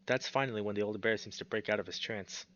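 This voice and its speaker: A man's voice: on the low side, fast, a bit bright, dry recording, lo-fi sound. A man reading a visual novel aloud as a streamer, mixing narration with casual, sweary commentary.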